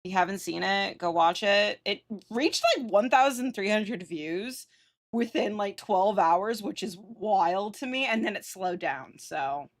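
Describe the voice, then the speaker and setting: A woman's voice: mid-range voice, lively intonation, a little slow. Casual, sweary woman livestreamer chatting. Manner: sweary, self-teasing, slangy. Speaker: live streamer. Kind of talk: casual livestream chat with viewers.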